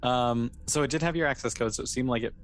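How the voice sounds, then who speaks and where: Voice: mid-range voice, fast talker. Casual game master with noisy background. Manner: casual, conversational. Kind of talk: narrating an improvised roleplaying scenario with casual chat.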